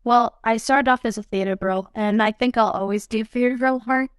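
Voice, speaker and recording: A woman's voice: a little high, moderate pace, clean tone, no room sound, clean studio sound. A young adult woman, an actress being interviewed, talking casually and animatedly, often saying "you know what I mean". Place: studio podcast set.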